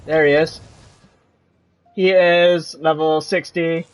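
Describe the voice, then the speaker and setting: A man's voice: a little high, level tone, slow pace, low-quality recording. A man giving casual gameplay commentary as a streamer, rambling and trailing off mid-thought. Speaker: game streamer. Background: game music behind the voice.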